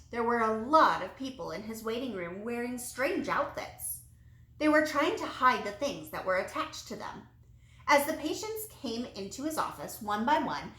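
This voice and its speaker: A woman reading a children's storybook aloud in an expressive, animated way with dramatic emphasis. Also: on the high side. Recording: roomy, echoey sound.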